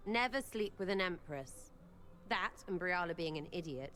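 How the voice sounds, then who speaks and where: A woman's voice: lowish voice, lively intonation, normal speed, fairly bright tone, reverberant, faint music behind. A woman voicing a cheeky, irreverent young rogue in a video game, brash and slangy with a British accent. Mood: blunt, scolding. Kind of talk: video game character dialogue.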